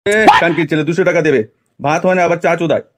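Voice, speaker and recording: A man's voice: fairly high pitch, fairly even intonation, very fast, very noisy, lightly acted. A man voicing a bad-tempered, foul-mouthed village father in an amateur comedy cartoon, scolding and threatening crudely.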